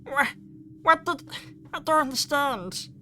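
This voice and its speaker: A woman's voice: high-pitched, lively intonation, takes it slowly, pushed and bright, a little hiss or hum, exaggerated voice. A woman playing a bossy, exasperated student girl in a visual novel, blunt and scolding. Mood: alarmed. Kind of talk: visual novel character dialogue.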